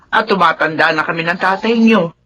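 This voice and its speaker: A woman's voice: fairly low pitch, a little fast, bright, forceful tone, a little hiss or hum. An actress playing a worried, probing middle-aged Filipino mother in a radio drama. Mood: concerned.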